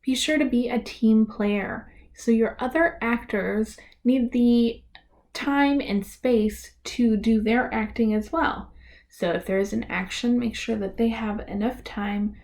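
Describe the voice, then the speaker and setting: A woman presents a how-to for families in a fairly high voice. She goes slowly, enunciating each word with deliberate care. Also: darkish tone. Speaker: presenter of a library reader's theater tutorial.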